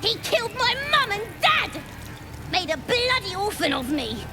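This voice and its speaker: Nasty little goblin brawler, super high and swoopy, working-class english, mouthy and taunting. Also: female voice, very animated, measured pace, noisy background, exaggerated voice. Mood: gleefully vicious. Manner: taunting, slangy, belligerent. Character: brash, cruel young orphaned goblin brawler. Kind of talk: video game character acting.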